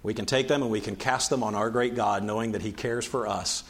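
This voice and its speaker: A man leading a church service as a camp director, speaking in an earnest, measured, pastoral way. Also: on the low side, steady tone. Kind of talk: church announcement and short devotional.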